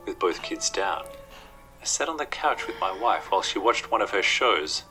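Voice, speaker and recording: A man's voice: deep voice, very animated, moderate pace, sharp tone, rough recording. A man reading a scripted first-person horror story as its narrator. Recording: music under the voice.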